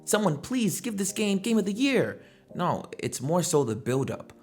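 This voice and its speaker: A man's voice: sits high, sing-song, moderate pace, faint music behind. A man hosting a gaming commentary video, talking in a conversational, slangy way and throwing out rhetorical questions. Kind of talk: casual opinionated video commentary.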